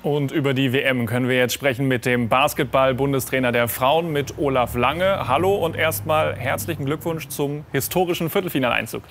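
A man presenting sports news and interviewing a coach in a formal, measured way. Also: medium pitch, fairly bright tone, slight background noise.

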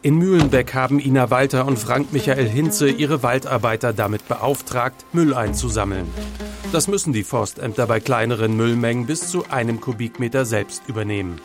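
A man reading a scripted voice-over for a TV news report in a measured, even way. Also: medium pitch, over music. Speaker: news narrator.

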